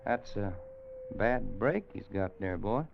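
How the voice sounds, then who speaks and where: An actor playing a laconic, kindly cowboy who narrates and talks in folksy American western speech, in old-time radio style. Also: male voice, fairly low pitch, animated, slow pace, creaky, heavily acted. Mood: subdued, kindly. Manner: folksy, hesitant drawling cowboy talk. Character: laconic grown cowboy narrating, gentle with an orphaned boy. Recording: muffled, noisy old radio recording.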